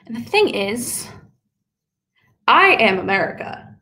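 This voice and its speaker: Defiant young woman played by an actress, high and bright, angry rapid rant. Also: very animated, heavily acted. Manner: angry, rapid, profane ranting. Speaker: performer at a monologue contest. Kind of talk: live contemporary dramatic monologue.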